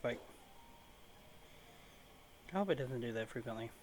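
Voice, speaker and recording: A man's voice: medium pitch, expressive, unhurried, a little mellow. Impatient man grumbling through gameplay, noisy recording. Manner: impatient, sarcastic grumbling at the game. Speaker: gaming video creator playing and commenting. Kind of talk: casual let's play commentary.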